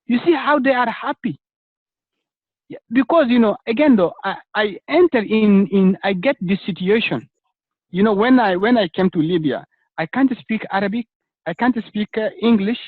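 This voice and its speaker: A man's voice: high voice, moderate pace, no echo. A man in a casual online group chat, speaking earnestly with many "you know" fillers. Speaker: chat participant. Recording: dry tinny phone-like call audio.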